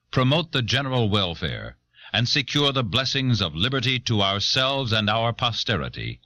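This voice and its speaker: A man's voice: mid-range voice, sharp tone, no echo. A male actor giving a solemn, stately reading of a founding document for an audio documentary. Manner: stately, declaratory. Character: solemn formal reader of a founding American document.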